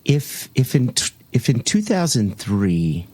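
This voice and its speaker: A man's voice: mid-range voice, big pitch swings, slow, mellow, no room sound. A man hosting a podcast, asking questions in a casual interview style. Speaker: podcast host.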